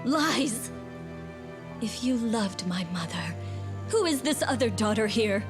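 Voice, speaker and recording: A woman's voice: fairly high pitch, lively intonation, slow. A woman playing a proud young witch in a fantasy game, speaking in a cold, formal, accusing way. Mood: bitter accusation. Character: bitter, proud young witch, estranged princess's daughter. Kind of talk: dramatic fantasy game voice acting. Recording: music behind. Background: music.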